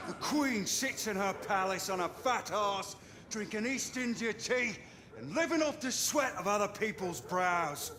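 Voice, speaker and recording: A man's voice: high voice, expressive, measured pace, crowd noise. A man playing an angry rebel orator giving a fiery, rabble-rousing street speech. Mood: defiant.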